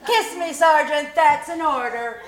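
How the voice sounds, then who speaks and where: Very high, theatrical housewife, acted by a woman, roomy and noisy. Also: unhurried. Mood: eager, bossy. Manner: exaggerated wailing, theatrical delivery. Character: dramatic, scheming, self-pitying young housewife in 1940s sitcom. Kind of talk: 1940s radio comedy acting, live performance. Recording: roomy live stage pickup, noisy.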